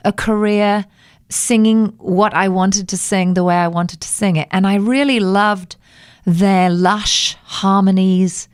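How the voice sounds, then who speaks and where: A woman's voice: mid-range voice, fairly even intonation, takes it slowly, smooth, dry recording, studio quality. A woman singer being interviewed on the radio, reflective and telling anecdotes in a conversational way. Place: radio studio.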